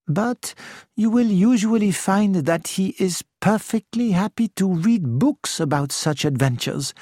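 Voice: medium pitch, a little mellow, no echo, studio quality, voice pushed up. A narrator voicing a fussy elderly Belgian male detective who speaks formally and precisely. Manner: formal, polite, precise phrasing.

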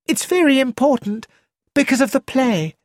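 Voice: high voice, animated, normal speed, a little mellow, dry recording, voice pushed up. A narrator voicing a flighty, childish young actress who exclaims eagerly about money, read in a close, dry studio recording.

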